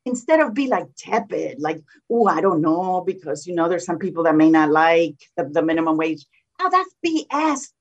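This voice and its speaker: A woman with a slight Colombian Spanish accent, a state senator speaking in an interview in a combative, animated way. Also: fairly low pitch, moderate pace. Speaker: state senator, political guest. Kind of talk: conversational interview. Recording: dull lo-fi sound.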